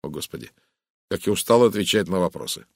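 A man's voice: deep voice, big pitch swings, fast talker, gravelly, dry recording, clean studio sound, heavily acted. A man voicing an irritable, curt army captain in an audiobook, brusque and impatient. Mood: irritated. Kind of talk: audiobook character voice.

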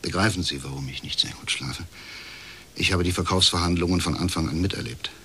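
An actor playing a bitter, middle-aged male official confiding as a whistleblower in a radio crime drama, with a husky voice and measured delivery. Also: deep voice, bright, forceful tone, a little hiss or hum. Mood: urgent, confiding. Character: disillusioned, chain-smoking male privatisation official, uneasy whistleblower.

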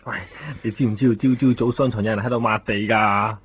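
A man's voice: on the low side, fairly even intonation, quick pace, slightly rough. An actor playing a whiny, easily annoyed male confidant with a Hong Kong Cantonese accent in a radio drama, grumbling. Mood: exasperated. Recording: tinny, noisy phone-like radio recording.

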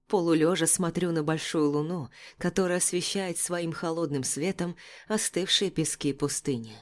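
A woman reads scripted fiction in the first person as an audiobook narrator. Her voice sits a little low for a woman.

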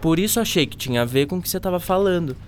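A woman's voice: lowish voice, lively intonation, very fast, darkish tone, slight background noise, natural voice. A woman playing a joking, laid-back teenage schoolboy with a Brazilian accent and slangy talk in an educational radio drama. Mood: earnest, casual. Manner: casual, slangy, teasing.

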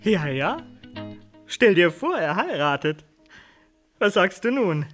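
Teasing aristocrat in a radio play, sharp bright voice, really drawn out, theatrical. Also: male voice, sits high, very animated, slow pace, faint music behind, full character voice. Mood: mocking amusement. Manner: theatrical, breaking into laughter. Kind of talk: German radio drama acting.

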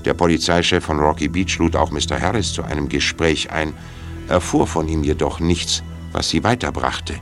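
A man's voice: very deep voice, moderate pace. A man reading scripted narration for an audio drama. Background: clear music.

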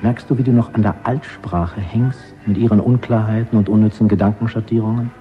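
An actor in a radio play voicing a zealous, pedantic linguist who lectures with emphatic, self-satisfied conviction. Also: male voice, on the low side, fairly even intonation, fairly quick, lightly acted. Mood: smug enthusiasm. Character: zealous, pedantic male linguist, fanatical party believer. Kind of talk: German radio play acting. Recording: dull radio production with music.

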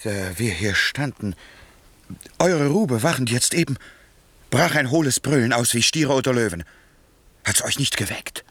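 An actor playing a dry, skeptical prince open to treason in a classical 1960s German radio drama, raspy and theatrical. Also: male voice, mid-range voice, expressive, a little slow, pauses often, fairly bright tone, dry recording, exaggerated voice. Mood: feigned alarm.

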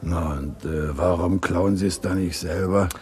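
An actor playing a rough, cocky ex-boxer crook in a German radio crime play, blunt and slangy. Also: male voice, deep voice, steady tone, a little slow, darkish tone. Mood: blunt, doubtful. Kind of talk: 1950s to 80s German radio crime drama acting.

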